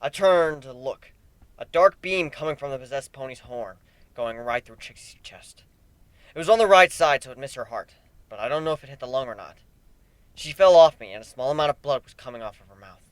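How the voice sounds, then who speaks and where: A man's voice: medium pitch, expressive, brisk, clean tone, dry recording. A man reading a first-person fantasy story aloud as an amateur dramatic narrator. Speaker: amateur fan fiction reader. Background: background music.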